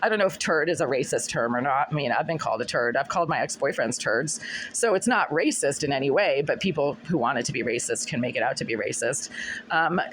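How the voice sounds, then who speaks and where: A middle-aged woman with a scratchy, husky voice, talking insistently and repetitively to a phone camera in her apartment. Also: rumbling low, animated, fast, a bit bright. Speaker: vlogger. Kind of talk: monologue to camera. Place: apartment room.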